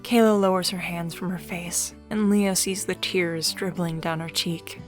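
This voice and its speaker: A woman's voice: lowish voice, steady tone, a little slow, faint music behind. A woman reading scripted story narration as the narrator.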